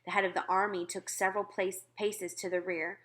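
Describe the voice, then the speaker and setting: Bright, dramatic woman reading a storybook. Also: mid-range voice, level tone. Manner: dramatic, deliberate emphasis. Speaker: read-aloud narrator. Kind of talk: expressive children's storybook narration.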